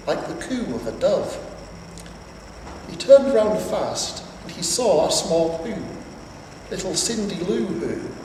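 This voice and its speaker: Animated man reading a storybook, roomy sound. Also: high-pitched. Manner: dramatic, theatrical. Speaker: story reader. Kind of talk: rhymed storybook narration. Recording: roomy, distant, faintly noisy recording.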